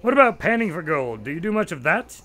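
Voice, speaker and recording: Radio drama lead: a man plays a courteous, put-upon doctor, narrating in a slightly higher voice. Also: very animated, quick pace, sharp tone. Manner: polite and exasperated. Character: polite, slightly exasperated male doctor narrator.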